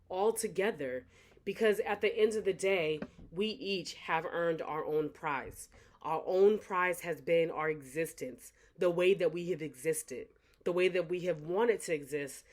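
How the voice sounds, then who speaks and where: A young adult woman, an author, speaking earnestly and reflectively to her supporters in a motivational talk, often repeating phrases for emphasis. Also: lowish voice. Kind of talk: motivational direct-to-camera talk.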